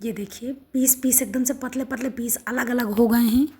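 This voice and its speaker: A middle-aged woman with a hoarse, sore throat, walking through a home recipe step by step in a homely, instructive way. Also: on the high side, fairly even intonation, normal speed, a little mellow. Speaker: cooking channel host. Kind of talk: home cooking demonstration.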